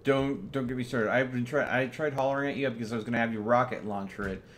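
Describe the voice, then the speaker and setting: A man's voice: medium pitch, fast. A man giving casual live commentary and chatting while he streams a game. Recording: noisy gaming setup with keyboard clatter. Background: keyboard typing, steady background noise.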